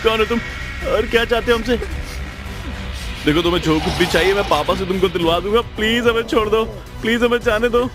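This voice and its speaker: A man's voice: high-pitched, fairly quick, music in the background, voice pushed up, lightly acted. A man voicing a frightened young boy, frantic and pleading, in a horror story. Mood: terrified pleading. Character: terrified young boy pleading for his life.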